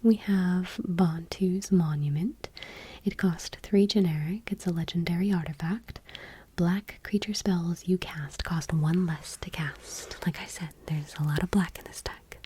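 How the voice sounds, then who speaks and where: Whispering woman doing breathy ASMR. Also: lowish voice. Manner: gentle, unhurried reading with casual asides. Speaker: ASMR host. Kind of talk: ASMR, reading cards in a soft whisper.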